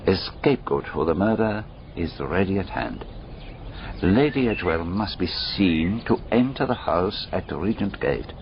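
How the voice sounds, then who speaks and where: An actor playing a shrewd, methodical foreign detective in a radio drama, deliberate and courteous, musing aloud in rhetorical questions. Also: male voice, low voice, sing-song, heavily acted. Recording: dull radio recording, clearly noisy background.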